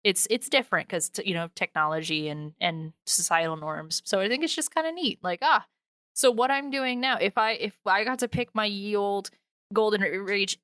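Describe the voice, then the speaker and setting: Bright-voiced woman hosting a casual podcast. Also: mid-range voice, moderate pace, no room sound, studio quality. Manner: playful, informal, sweary. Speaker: podcast host. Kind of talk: casual, slangy podcast chat.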